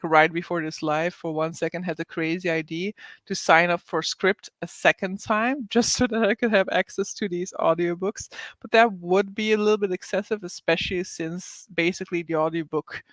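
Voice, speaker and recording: A woman's voice: on the low side, a bit bright, dry recording. A woman hosting a casual livestream reading sprint, reading viewer comments aloud, chatting with frequent laughs, likes and ums.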